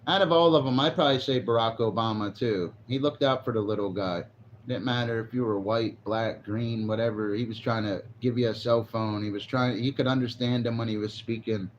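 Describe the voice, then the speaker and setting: A man's voice: medium pitch, a little fast, lo-fi sound, a little hiss or hum. A forty-year-old man livestreaming, chatting casually and rambling, swearing now and then. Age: 40s. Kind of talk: casual live stream chat. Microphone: phone on a selfie stick.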